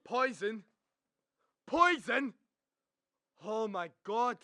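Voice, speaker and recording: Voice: medium pitch, lively intonation, sharp tone, dry recording, heavily acted. Old druggist played by a young actor: volatile, broken by grief, dragging delivery, close dry sound. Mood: shocked. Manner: slow, anguished. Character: drunken grieving old male druggist, volatile, remorseful. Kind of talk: radio drama acting.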